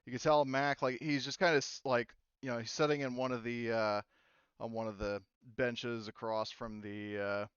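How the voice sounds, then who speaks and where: A man's voice: medium pitch, little pitch movement, normal speed, a bit bright, clear, resonant tone, dry recording, dull audio. A man running a tabletop game as game master, rambling with many fillers and restarts.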